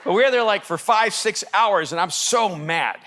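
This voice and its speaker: A man from El Salvador, a native Spanish speaker, preaching as a pastor and telling a story in an animated, laughing manner. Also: sits high, moderate pace. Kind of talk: storytelling sermon.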